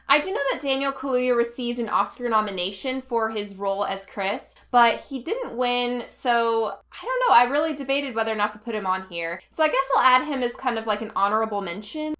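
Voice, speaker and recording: A woman's voice: a little high, bright, forceful tone. A woman hosting her channel in a casual, rambling chat, her voice congested from a cold. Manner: chatty, rambling with fillers. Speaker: host. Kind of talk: casual video commentary.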